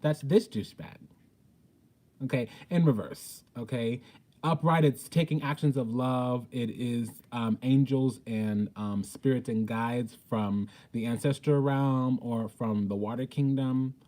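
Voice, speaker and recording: A man's voice: medium pitch, unhurried, mellow. A man giving an informal spiritual tarot reading in a blunt, sassy, profane way with emphatic, theatrical delivery. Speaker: tarot reader, host.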